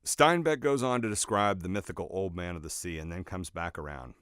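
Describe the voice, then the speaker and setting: A man reading his own nonfiction book as scripted narration, in a measured, expressive storytelling way with emphatic phrasing. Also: low-pitched, clean tone, no room sound, clean studio sound. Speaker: author reading own audiobook.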